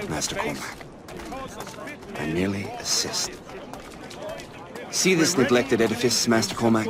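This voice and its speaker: An actor playing a high-minded colonial-era army colonel in a game cutscene, speaking formally in a measured, gentlemanly manner. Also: male voice, lightly acted. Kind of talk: dramatic game cutscene dialogue.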